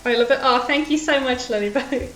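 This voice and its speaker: A woman's voice: sits high, brisk, fairly bright tone, reverberant, soft background music. A woman from the UK, raised partly in Australia, chatting casually with viewers as a game streamer in a nervous, rambling way. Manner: nervous, rambling, self-conscious. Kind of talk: casual chat with viewers while playing.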